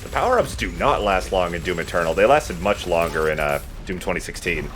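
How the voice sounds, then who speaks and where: A man's voice: deep voice, expressive, fairly quick, fairly bright tone, faint music behind. A man doing casual, joking gameplay commentary in a conversational way. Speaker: let's play commentator.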